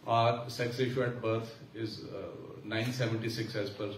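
A man with an Indian English accent giving a formal, measured speech at a conference, pausing with fillers. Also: medium pitch, little pitch movement. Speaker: senior official giving keynote. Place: large conference hall. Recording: echoey hall from far off, faint hiss.

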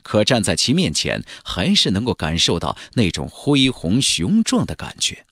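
A man narrating a fantasy audiobook in a measured, theatrical storytelling delivery. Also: on the low side, huge pitch swings, a bit bright, dry recording, studio quality. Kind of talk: dramatic scripted narration of a fantasy novel.

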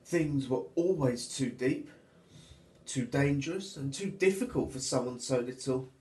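A man, a teacher reading a storybook to children in an expressive, deliberate way. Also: medium pitch. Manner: expressive, deliberate, addressing young listeners. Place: small plain room at home, indoors. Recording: echoey small room.

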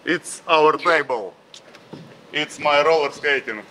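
A man's voice: fairly high pitch, takes it slowly, bright, forceful tone, a little hiss or hum. A middle-aged man with a Ukrainian accent, a skating performer playfully introducing himself in broken English. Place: theatre stage.

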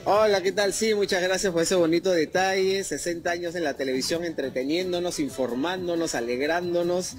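A Peruvian man offers tribute remarks in an outdoor interview. His voice sits fairly high for a man, caught on a handheld microphone. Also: fairly quick, hardly any pauses. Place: outdoors, outside TV studio building. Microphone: handheld reporter microphone.

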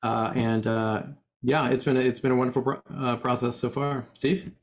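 Middle-aged male guest, average pitch, casual and a bit halting. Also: steady tone. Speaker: guest, engineering manager and writer. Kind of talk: casual self-introduction in interview. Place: indoors on a video call.

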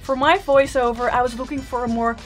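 Bubbly young woman vlogging, high voice. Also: steady tone, brisk, soft background music. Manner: bubbly, enthusiastic. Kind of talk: casual vlog talk to camera. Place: small bedroom, indoors.

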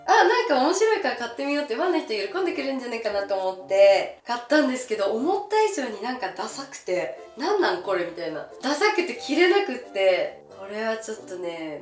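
A young woman chatting casually to camera as she presents, with an exclamatory, bashful manner. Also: medium pitch. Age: young adult. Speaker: gravure idol presenting outfits. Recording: roomy echo from camera distance. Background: faint music.

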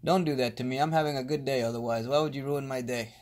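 A man's voice: mid-range voice, fairly even intonation, quick pace, darkish tone. A man talking casually on a phone call, pleading with naive-sounding worry. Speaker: prankster call recipient playing along with a scammer.

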